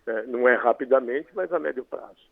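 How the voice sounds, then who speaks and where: A man with a Brazilian Portuguese accent, an infectious disease doctor calmly explaining in a radio interview by phone. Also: medium pitch, a little fast, creaky, no room sound. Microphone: telephone. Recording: tinny phone line.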